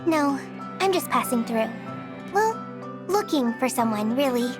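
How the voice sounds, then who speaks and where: A woman's voice: sits high, expressive, measured pace, music in the background. A woman voicing a wistful, sincere young wandering girl in a video game cutscene. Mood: guarded, wistful. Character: soft-hearted, wistful mysterious wandering girl.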